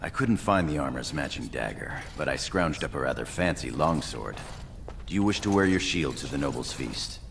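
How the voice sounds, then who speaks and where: An actor playing a loyal, wry dwarven retainer in a video game, with dry, deferential joking and a gravelly voice. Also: male voice, very deep voice, expressive, normal speed, fairly bright tone, slight background noise, exaggerated voice. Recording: music playing behind the voice.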